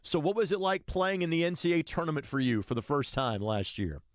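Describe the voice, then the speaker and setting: A man's voice: fairly high pitch, big pitch swings, brisk, dry recording, studio quality. A man hosting a sports radio show, interviewing a guest in a conversational way.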